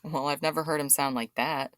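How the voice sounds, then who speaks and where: A woman acting out a caring aunt in an audio drama, inquisitive and sympathetic. Her voice is low for a woman and recorded dry and close. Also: fairly even intonation, a little fast, no room sound, natural voice. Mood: concerned. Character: concerned, curious aunt.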